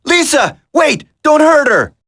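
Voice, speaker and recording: Woman acting a high, urgent young hero. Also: sing-song, slow pace, full character voice. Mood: alarmed. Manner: shouting, urgent. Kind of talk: video game voice acting.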